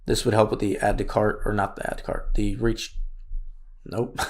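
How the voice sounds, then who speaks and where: A man with a raspy voice, losing it, casually walking through an online store tutorial with many fillers. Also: lowish voice, little pitch movement, moderate pace. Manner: casual, thinks aloud with fillers. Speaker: course instructor. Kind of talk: screen-share tutorial walkthrough.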